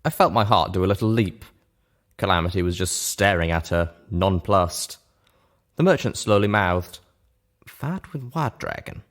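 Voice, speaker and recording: A man's voice: sits low, moderate pace. A man reading a first-person story aloud as scripted narration. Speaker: narrator, reader of fan fiction.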